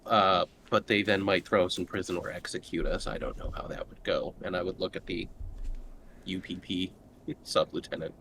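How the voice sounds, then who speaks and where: A man's voice: sits low, fairly even intonation, moderate pace. A man playing a tabletop game, chatting casually in laid-back, offhand remarks. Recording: clearly noisy tabletop session recording.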